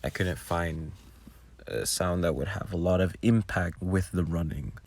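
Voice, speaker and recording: Very deep-voiced man, casual gravelly commentary. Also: unhurried, darkish tone, dry recording. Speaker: audio roleplay creator. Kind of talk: casual behind-the-scenes walkthrough commentary.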